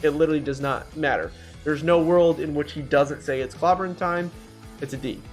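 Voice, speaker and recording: A man's voice: fairly high pitch, a little fast. A man giving casual, blunt, slangy ranking commentary as host of a gaming video. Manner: slangy and blunt, addresses viewers as bro. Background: music.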